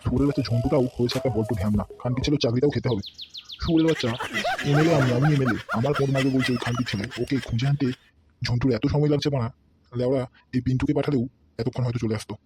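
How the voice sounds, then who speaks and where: A bullying cartoon political boss, played by a man, with a short temper. He blusters and curses in a very fast torrent. There is clear music behind the voice. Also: mid-range voice, close to an everyday voice. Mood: grumbling, irate. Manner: blustering, threatening, constantly cursing. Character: bullying, hot-tempered male local politician boss, a father. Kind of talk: comedy cartoon dialogue.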